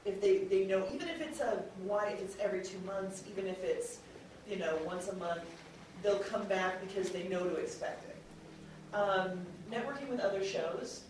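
A woman's voice: medium pitch, normal speed, darkish tone, heavy reverb, far from the mic, rough recording. A woman speaks as a chatty panelist, explaining things informally with examples. The room rings heavily around her, and she sits away from the mic with faint noise in the recording. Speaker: audio drama producer on a panel.

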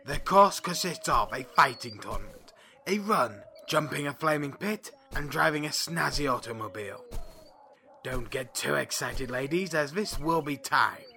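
An actor playing a brusque, scornful drill sergeant duck in an audio drama, barking sarcastic military orders. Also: male voice, fairly high pitch, expressive, moderate pace, vocal fry, sharp tone, slight background noise, full character voice.

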